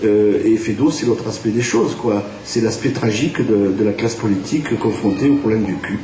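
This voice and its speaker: French guy rambling w lots of euhs, mellow voice, far off mic in an echoey room w a little hiss. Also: lowish voice, fairly quick. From France. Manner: hesitant, many fillers and restarts. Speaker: theatre director, guest. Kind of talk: radio interview.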